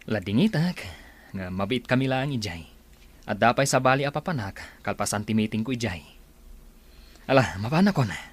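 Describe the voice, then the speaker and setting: A man's voice: mid-range voice, animated, moderate pace, long pauses, natural voice. A man with an Ilocano accent playing a smitten, confident office boss in a radio drama, flirtatious and playful. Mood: businesslike.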